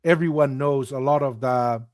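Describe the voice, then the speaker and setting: A man co-hosting a casual chat show, animated and laughing easily, repeating phrases for emphasis. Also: medium pitch, moderate pace, no room sound, clean studio sound. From Tonga. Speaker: podcast co-host.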